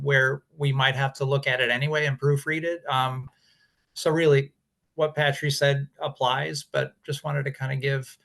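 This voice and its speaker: A man joins a web panel discussion with a casual comment. He keeps a middling pitch and leans often on "you know". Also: a bit bright. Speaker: translation industry panelist.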